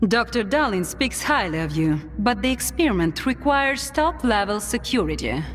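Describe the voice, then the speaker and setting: Actress as poised, manipulative woman, lively intonation. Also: mid-range voice, close to an everyday voice. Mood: flattering, probing. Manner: measured, deliberate, coolly persuasive. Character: poised, manipulative female official, silkily threatening and flattering. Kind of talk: video game cutscene acting. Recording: long reverb, loud music behind.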